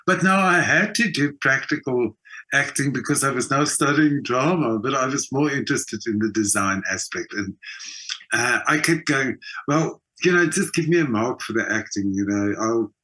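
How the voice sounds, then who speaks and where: A South African man with a bright, forward voice at an ordinary male pitch. He talks as an interview guest sharing his own story in a conversational way, stressing points by repeating words. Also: moderate pace, low-quality recording. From South Africa, Johannesburg. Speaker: interview guest, actor and theatre designer. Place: video call from home country, indoors. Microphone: video call.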